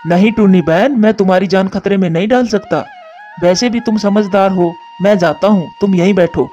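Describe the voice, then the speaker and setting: A man's voice: sits high, moderate pace, dark tone, close to an everyday voice. A man voicing an earnest, admonishing crow, a concerned father in a cartoon. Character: male crow, concerned scolding father and husband. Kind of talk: Hindi cartoon voice acting. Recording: muffled narrow-band audio over music.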